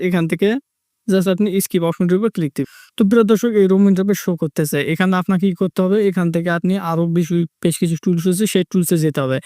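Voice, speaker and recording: A man's voice: sits high, warm tone, no echo, clean studio sound. A man narrating a step-by-step tech tutorial, repeating his instructions as he goes. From Bangladesh. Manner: repetitive instructional phrasing.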